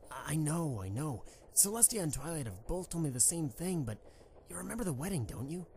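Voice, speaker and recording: A woman's voice: sits low, sing-song, fairly quick, dark tone. A woman playing a young pony prince and fond husband, a protective worrier, conversational and earnest in an amateur audio drama. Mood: worried, earnest. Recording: slightly noisy amateur microphone recording.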